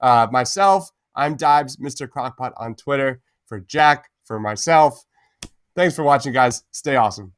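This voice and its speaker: A man's voice: medium pitch, animated, measured pace, smooth, no room sound, studio quality. A man co-hosting a podcast in an enthusiastic, casual chatty style, often tossing in "man". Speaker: podcast co-host.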